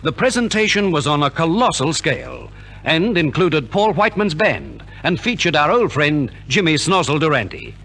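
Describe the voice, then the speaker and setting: Male vintage radio presenter, animated and fairly high-voiced, polished scripted delivery on an old 1950s transcription. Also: normal speed. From Australia. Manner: polished, theatrical storytelling.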